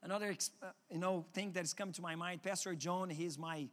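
A man's voice: high voice, steady tone, fairly quick, a bit bright. A man with a Portuguese accent, a native Portuguese speaker, preaching a sermon earnestly and often restarting his words. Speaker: pastor. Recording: long hall reverb.

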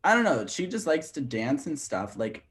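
A man's voice: on the high side, big pitch swings, moderate pace. A young man of twenty, co-hosting a casual chat podcast. He rambles a bit, leans on filler words and laughs easily between points. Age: 20s. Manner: chatty, heavy use of "like", laughs easily. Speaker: podcast co-host.

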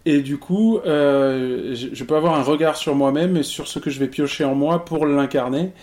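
A man hosting an interview, speaking in a measured, articulate way and thinking aloud. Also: a little high, darkish tone. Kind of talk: interview host.

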